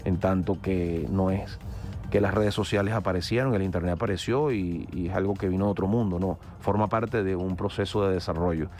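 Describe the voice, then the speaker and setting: A man with a Venezuelan Spanish accent hosting a radio news analysis hour, measured and hesitant with many fillers. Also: low-pitched, warm tone. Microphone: studio microphone.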